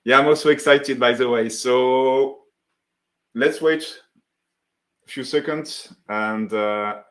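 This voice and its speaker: A man from France with a French accent, hosting a live webinar as founder of a software tool, greeting viewers in the chat and demoing his workflow. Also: medium pitch, slow. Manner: friendly, greets chat viewers by name, many fillers.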